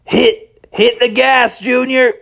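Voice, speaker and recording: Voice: mid-range voice, level tone, slow pace, vocal fry, a bit bright, pitched above the performer's own voice. A storyteller voicing an old cowboy horse who bosses a garbage crew in a drawling, hearty way. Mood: commanding.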